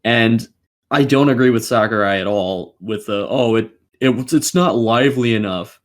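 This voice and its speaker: A man with an average-pitched voice talks casually as a gaming podcast host. He is blunt and disgruntled, swearing often as he gives his opinions. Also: normal speed.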